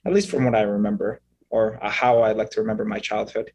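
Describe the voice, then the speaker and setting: A man in his thirties, from Egypt and raised in Canada, telling his story as an interview guest in a halting, explanatory way, often checking in with "right?". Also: lowish voice, little pitch movement, a little mellow, lo-fi sound. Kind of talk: conversational storytelling.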